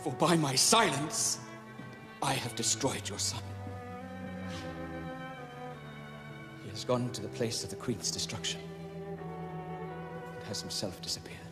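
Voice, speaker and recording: An actor playing a loyal, anxious lord in an audio drama, speaking formal archaic English in an earnest, courtly way. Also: male voice, mid-range voice, lively intonation, normal speed, pauses often, close to an everyday voice. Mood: anguished guilt. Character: loyal, anxious lord counselling his king and prince. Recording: dramatic music behind the voice.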